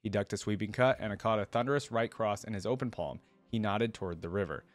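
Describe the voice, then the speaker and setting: A man's voice: on the low side, fast, no echo. A man reading a fantasy story aloud and adding enthusiastic, informal fan commentary as a reaction video host.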